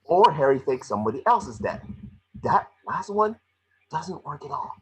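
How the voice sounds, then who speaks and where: Guy doing a raspy little wisecracking mouse detective, cocky patter, voice jumps all over. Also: a little high, big pitch swings, heavily acted, rough recording. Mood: insistent. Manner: fast-talking wisecracking patter. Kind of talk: animated script table read.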